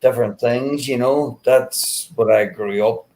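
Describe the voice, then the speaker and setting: A man's voice: mid-range voice, a little slow, a little mellow. Middle-aged Northern Irish man, chatty. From Northern Ireland. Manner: leans on "you know", restarts words. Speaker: stream guest. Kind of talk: casual live-stream chat.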